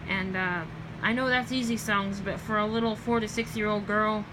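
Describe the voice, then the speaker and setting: A young woman with a Southern US accent chats through a late-night vlog at a middling pitch. She rambles, corrects herself and lets sentences fade quietly. Steady background noise sits under her voice on the recording. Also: moderate pace. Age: young adult. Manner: rambling, with many ums and self-corrections. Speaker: vlogger.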